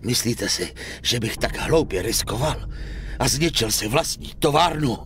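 An actor playing a cold, middle-aged gang boss, formal, composed and defiant, in a dubbed crime game. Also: male voice, medium pitch, expressive, vocal fry, a bit bright, a little hiss or hum. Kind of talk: dramatic dubbed video game acting.

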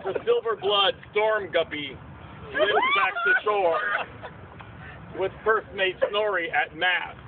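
A man's voice: high voice, huge pitch swings, takes it slowly. A man narrating a puppet show in dramatic, theatrical storyteller verse. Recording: tinny outdoor recording, faint noise.

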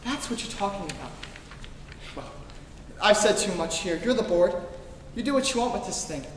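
A man's voice: high voice, expressive, moderate pace. An earnest young idealist, acted by a man in a live radio play, speaking with passion. The sound is distant, reverberant and slightly noisy. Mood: impassioned. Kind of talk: staged old-time radio play acting. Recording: echoey hall from far off, noisy.

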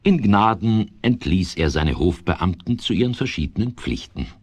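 A man narrating a children's radio play like a storybook, with measured, dryly amused formality. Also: lowish voice, expressive, breathy edge, no echo.

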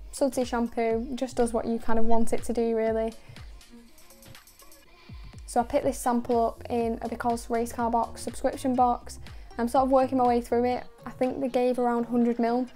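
Young woman, detailing host voice-over, very flat delivery, mellow tone, faint music underneath. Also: fairly high pitch. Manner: calm, measured, with many "sort of" and "kind of" fillers. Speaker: car detailing channel host. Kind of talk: voice-over narration of a car wash.

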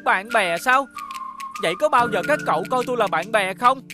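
A woman plays a bullied young boy in a children's animated tale. The voice is fairly high, emphatic and easily wounded, with music softly underneath. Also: normal speed, close to an everyday voice. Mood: hurt, resentful. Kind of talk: animated fairy tale voice acting.

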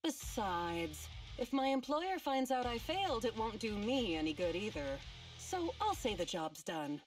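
Taunting cat-girl mercenary for an anime game; animated delivery, music underneath. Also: fairly high pitch, unhurried, distant mic. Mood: cool, dismissive. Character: cynical, predatory cat-girl mercenary villain.

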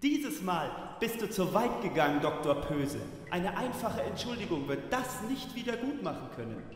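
Man playing an echo-drenched, earnest, high-voiced superhero. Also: sing-song, normal speed. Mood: indignant, heroic. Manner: declamatory, heroic. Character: earnest, pompously nice male superhero. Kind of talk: comic radio play acting. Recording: very echoey hall, faint noise.

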